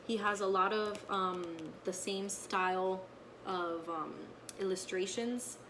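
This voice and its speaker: A woman's voice: mid-range voice, steady tone, slow. Woman reading to children, noisy recording. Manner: frequent checking tags like okay and right. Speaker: teacher reading a picture book aloud to her pupils. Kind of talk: casual read-aloud with explanations to children.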